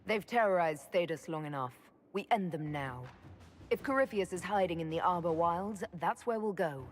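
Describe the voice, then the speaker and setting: A woman's voice: on the low side, moderate pace, soft background music, lightly acted. A woman playing a resolute, inquisitive elven leader in a fantasy game, with a plain, direct, commanding manner. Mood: determined.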